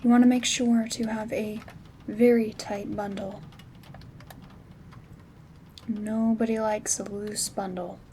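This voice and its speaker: A woman playing a calm, gentle witch in an ASMR roleplay, soft-spoken and often slipping into whispers as she gives step-by-step instructions. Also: medium pitch, slow pace, long pauses, slight background noise, natural voice. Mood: calm, focused. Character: calm, gentle witch crafting herb bundles. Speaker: ASMR host. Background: rain sounds.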